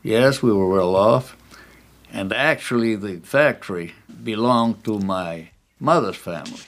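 Older man, 80s, from Poland; low voice; measured, reflective oral-history interviewee. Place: his home.

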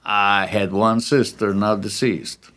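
An older man in his eighties, originally from Poland, speaks with a somewhat low voice. He answers questions slowly and thoughtfully, recalling his past in an interview recorded at his home. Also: fairly even intonation, fairly bright tone. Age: 80s. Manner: measured, reflective recollection. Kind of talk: oral history interview.

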